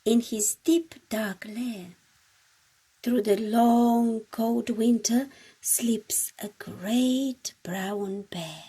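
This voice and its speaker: A woman reading a children's storybook aloud in an expressive, dramatic, deliberate storytime style. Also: a little high, husky. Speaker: storybook reader.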